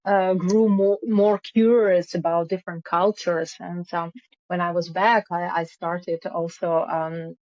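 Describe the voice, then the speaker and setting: A woman, speaking as an interview guest in a halting way with many fillers. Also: fairly low pitch, little pitch movement, a little mellow, no room sound. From Russia. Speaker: guest, music founder. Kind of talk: casual interview. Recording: dull lo-fi call audio.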